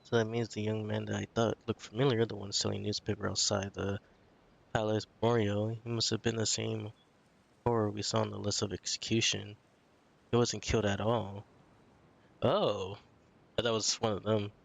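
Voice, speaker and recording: A man's voice: lowish voice, flat delivery, normal speed, gravelly. A man giving casual gaming commentary, musing aloud about a story in a rambling way.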